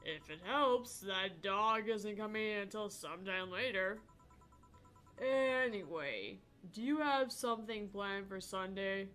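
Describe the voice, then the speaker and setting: A woman's voice: medium pitch, animated, unhurried, a bit bright, soft background music. A woman voicing an easygoing, casual bar boss in a visual novel.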